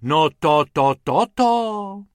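Give me a man playing a stammering, flustered storybook wife, slow and sighing. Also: fairly high pitch, expressive, clean tone, no room sound, clean studio sound, voice pushed up, close to an everyday voice. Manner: drawn-out stammering sighs. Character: flustered middle-aged female tourist, stammering.

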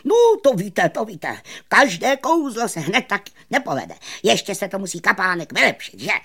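A showy little storybook magician voiced by a woman, loud and high, swooping theatrically through grand pronouncements. He is excitable and self-important, repeating himself with flourish. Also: heavily acted. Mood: chatty, dismissive. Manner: theatrical, repetitive, exclamatory, grandiose. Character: boastful excitable little male magician, a showman.

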